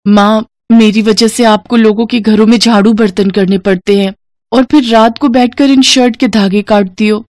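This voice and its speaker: A woman's voice: a little high, fairly even intonation, moderate pace, a little mellow, dry recording, studio quality, close to an everyday voice. Woman acting a meek, plaintive young bride-to-be. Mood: dutiful, worried. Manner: plaintive, earnest.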